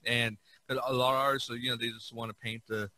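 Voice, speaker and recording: Bright-voiced man chatting on a podcast. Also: medium pitch, little pitch movement, dry recording, poor audio. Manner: halting, repeats words while searching for phrasing. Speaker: podcast host. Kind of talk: casual podcast chat.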